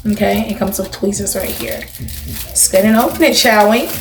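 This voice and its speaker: A woman's voice: medium pitch, moderate pace, reverberant, noisy background. A woman in her thirties hosting a beauty vlog, chatting casually and familiarly to her viewers with emphatic little check-ins. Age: 30s. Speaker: beauty vlogger and channel host.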